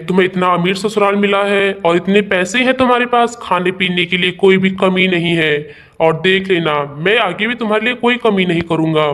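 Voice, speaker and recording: A man's voice: high voice, a bit bright. A man playing a dismissive, busy rich husband in a cartoon tale, brusque and impatient.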